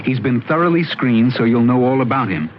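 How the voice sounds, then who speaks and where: A man's voice: mid-range voice, expressive, brisk. A man reading a scripted public service radio ad in an earnest, persuasive manner. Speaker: announcer. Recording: muffled, noisy old radio broadcast.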